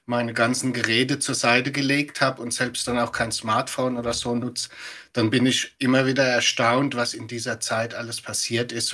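A man's voice: medium pitch, sharp tone. A middle-aged man giving explanatory interview answers as an expert guest. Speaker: media educator, podcast guest.

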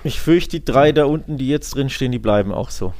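A man's voice: mid-range voice, fairly quick, a little mellow, no room sound. A man co-hosting a football podcast, chatting casually in an emphatic, colloquial and opinionated way. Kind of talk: casual football chat with live match reactions.

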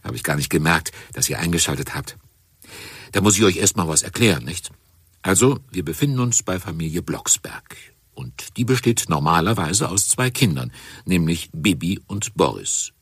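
A man's voice: low-pitched, lively intonation, moderate pace, slightly rough, no echo, studio quality, full character voice. A man playing a kindly, chatty storyteller who narrates a children's audio drama in a theatrical way. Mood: surprised, explaining. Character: kindly, concerned storyteller addressing young listeners directly.

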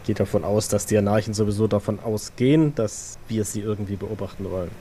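A man playing a wary, distrustful vampire in a role-play audio drama, with halting, deliberate phrasing. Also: on the low side, steady tone, dark tone, slight background noise, natural voice.

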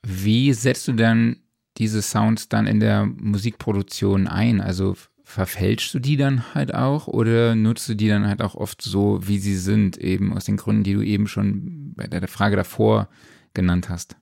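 Male podcast host, casual and appreciative, with a creaky voice and a dry, close sound. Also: lowish voice, fairly even intonation, unhurried, mellow, dry recording. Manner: informal, appreciative listener with slangy reactions. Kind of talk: casual interview chat.

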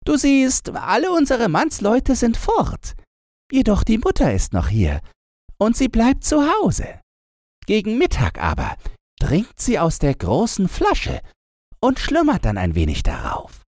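A man's voice: sits high, wildly swooping pitch, measured pace, darkish tone, no echo, clean studio sound, voice pushed up, full character voice. Man doing a bossy little robber girl. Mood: commanding. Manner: bossy, teasing, threatening.

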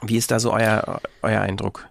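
A man's voice: on the low side, flat delivery, normal speed, creaky. A man hosting a casual discussion, putting questions in a tentative way as he thinks aloud. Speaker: host, interviewer.

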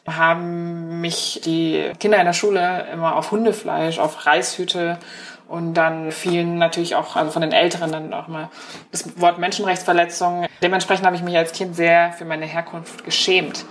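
A woman's voice: fairly low pitch, level tone, normal speed, a little hiss or hum. A woman in her thirties who grew up in Germany, a correspondent thoughtfully recounting personal memories over the phone in an interview. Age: 30s. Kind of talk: reflective interview answers. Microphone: telephone.

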